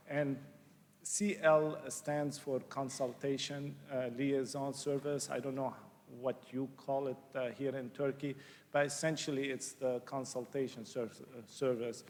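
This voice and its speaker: A middle-aged man from Lebanon gives an unscripted lecture in a large conference hall, his mid-pitched voice trailing off into a long reverb. He hesitates often, filling gaps with "uh" and restarting sentences, and clears his throat now and then. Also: darkish tone, slight background noise. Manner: hesitant, many uhs and restarts. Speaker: child psychiatrist giving a conference lecture.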